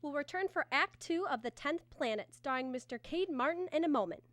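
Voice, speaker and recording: A woman's voice: sits high, animated, a little fast, a bit bright. A woman announcer reading act breaks and a sponsor message in an old-time radio style.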